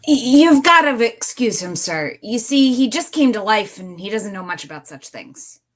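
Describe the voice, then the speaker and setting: A woman's voice: fairly high pitch, very animated, moderate pace. A woman voicing an earnest, caring young girl with a reassuring manner, in a live cartoon script reading. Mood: apologetic.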